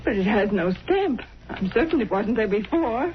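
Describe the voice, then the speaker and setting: Woman playing distressed, high-voiced radio drama mother. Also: lively intonation, normal speed, close to an everyday voice. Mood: guarded, worried. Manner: anguished, dramatic. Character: distressed, weary, secretive middle-aged wife and mother. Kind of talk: 1930s-40s radio acting. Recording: dull, noisy old radio recording.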